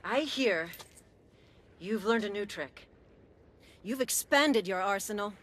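An actress playing a commanding middle-aged villain in a video game, cool, measured and condescending. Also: female voice, medium pitch, lively intonation, a bit bright. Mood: cool menace. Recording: game cutscene with music behind.

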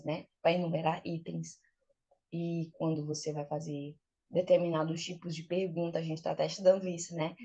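A woman's voice: lowish voice, vocal fry, slightly rough. A young adult woman with a Brazilian accent, an English teacher explaining informally on a video call, often posing rhetorical questions and acting out examples. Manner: animated, uses rhetorical questions and acted-out examples. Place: small plain room indoors. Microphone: video call, laptop close up.

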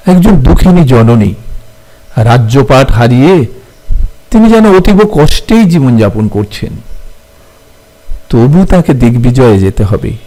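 A man teaching literature to students, speaking in deliberate short phrases and often posing questions as he explains. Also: a little high, warm tone, poor audio.

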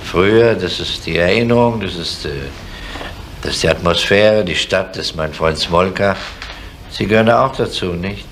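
A male actor playing a gruff, probing older police inspector in a 1970s German radio crime play, with a needling, insistent manner. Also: deep voice, moderate pace, fairly bright tone. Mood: needling, calm. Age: middle-aged. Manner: needling, insistent, with tag questions. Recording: noisy 1970s radio recording.

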